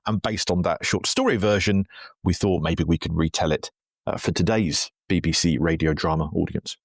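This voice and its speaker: A man's voice: sits low, normal speed, gravelly, no room sound, clean studio sound. A man talking conversationally as an interview guest, telling history in a chatty, digressive, self-deprecating way. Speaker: writer and radio historian, podcast guest.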